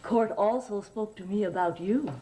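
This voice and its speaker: A woman's voice: medium pitch, moderate pace, slight background noise, close to an everyday voice, low-quality recording. An actress playing a talkative, happy lady of the house who gushes as she speaks, from an old television soap opera. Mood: gushing. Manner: gushing, talkative, breathy with excitement. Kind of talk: 1970s soap opera acting.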